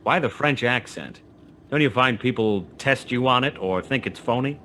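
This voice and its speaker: A man's voice: medium pitch, moderate pace, sharp tone, a little hiss or hum, lightly acted. An actor playing a wry, terse private detective who narrates his own case in a noir radio play. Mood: wry, probing. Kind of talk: 1940s noir radio play acting, first-person narration.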